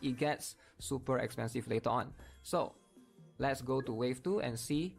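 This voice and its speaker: Man, casual gaming commentary, explanatory, gravelly fry, faint music behind, addresses viewers. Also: mid-range voice, normal speed. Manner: explanatory, often checking in with viewers. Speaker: gaming video creator.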